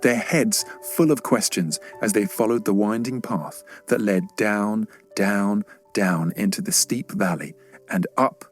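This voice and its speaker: A male audiobook narrator reads a children's story in a fairly low voice. The delivery is scripted storytelling, with faint music underneath. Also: husky.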